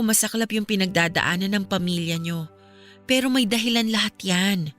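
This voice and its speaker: A caring young Filipino woman played by an actress, steadfast and reassuring in an earnest radio drama style. Her voice sits at a middle pitch, with music behind it. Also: lightly acted. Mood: tender reassurance.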